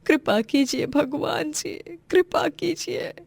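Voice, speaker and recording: A woman's voice: sits high, moderate pace, no echo, voice pushed up. A woman voicing a poor, devout, protective mother in a story, with an emotional, pleading manner. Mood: tearful pleading.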